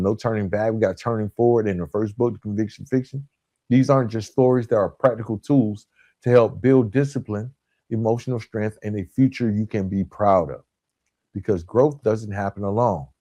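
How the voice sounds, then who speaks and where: A man hosting a motivational podcast, speaking earnestly and emphatically in short, deliberate phrases with repetition. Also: lowish voice, dark tone. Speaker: podcast host. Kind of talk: motivational self-improvement podcast monologue.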